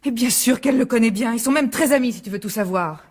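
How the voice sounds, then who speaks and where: An actress playing a defensive, exasperated middle-aged divorced mother in a French radio drama, argumentative, with a husky voice. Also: fairly high pitch, fast, a little mellow. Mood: defiant.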